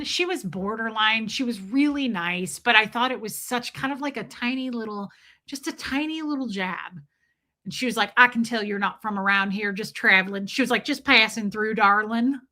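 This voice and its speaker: A woman's voice: on the high side, bright, forceful tone. A woman with a Southern accent, hosting a casual livestream and chatting with viewers by name. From Southern US, near Nashville. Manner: chatty, answers viewers by name, frequent restarts. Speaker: livestream host. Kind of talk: casual live chat reading comments.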